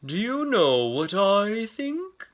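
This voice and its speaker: A man voicing a huge, gentle, wise female whale from a storybook, speaking in slow, drawn-out words. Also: high voice, very animated, voice pushed up, heavily acted. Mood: gentle, encouraging.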